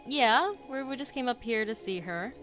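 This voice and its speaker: A woman's voice: a little high, animated, moderate pace, a bit bright. Casual woman streamer over game music. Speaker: gaming streamer reacting to a game. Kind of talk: casual reaction commentary.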